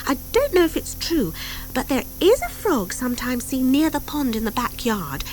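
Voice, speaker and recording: A woman's voice: high-pitched, sing-song, normal speed, a little mellow, soft background music, voice pushed up, poor audio. A woman voicing a bold young schoolgirl witch in an audiobook, gossipy and emphatic, on an old cassette. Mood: dramatic, gossipy. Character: bold young schoolgirl witch, dramatic storyteller among friends.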